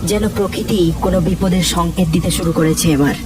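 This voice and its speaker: A narrator telling a spooky animated story in a scripted storytelling style. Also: mid-range voice, quick pace, recorded from a distance, over music.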